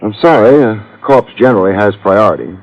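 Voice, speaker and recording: Casting: actor for male gumshoe, sardonic and dry, fairly deep, fifties radio detective drama, muffled recording. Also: lively intonation, brisk, close to an everyday voice. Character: wry, cool, wisecracking male private detective. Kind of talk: 1950s radio detective acting, with first-person narration.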